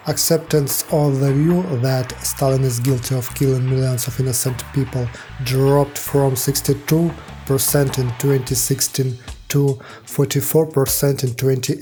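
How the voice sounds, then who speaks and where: A man delivers scripted documentary narration in a flat, level tone with little rise and fall. His voice is dark and mellow, and he reads facts and figures in a measured, steady way. Faint music plays underneath. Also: mid-range voice, low-quality recording.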